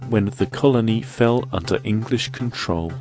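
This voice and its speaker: A man's voice: lowish voice, normal speed, darkish tone, soft background music. Man with a cold hosting a quiz. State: has a cold, slightly croaky. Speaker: quiz host. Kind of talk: reading quiz questions.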